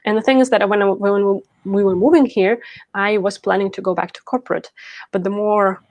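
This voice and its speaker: A woman's voice: medium pitch, steady tone, fairly quick, a little mellow, no room sound, lo-fi sound. A woman from Ukraine, speaking conversationally as an interview guest and coach, telling her own story.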